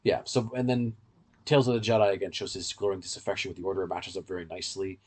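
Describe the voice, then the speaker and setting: A man's voice: low voice, steady tone, fast talker, darkish tone, slightly rough. A man co-hosting a podcast, giving casual, in-depth fan commentary in a rambling, explanatory way. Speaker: podcast co-host.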